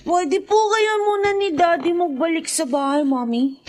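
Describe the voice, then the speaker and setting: Little boy, very high voice, Filipino accent, eager and wheedling, radio drama. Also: lively intonation, normal speed. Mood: pleading. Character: eager, wheedling young grandson, a small boy.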